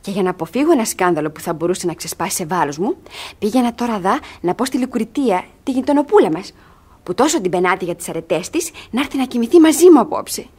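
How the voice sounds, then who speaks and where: An actress playing a pleading, scheming young ward in a classic comedy for radio theatre, speaking dramatically. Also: female voice, mid-range voice, expressive, a little mellow. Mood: distressed, confiding. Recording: husky-sounding archive radio theatre recording.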